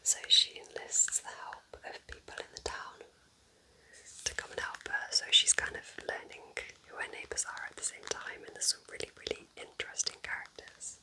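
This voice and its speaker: A woman whispering gently and unhurriedly in an ASMR style, rambling softly as she recommends things close to the microphone. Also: normal speed, low-quality recording. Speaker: ASMR host playing a kind witch recommending books.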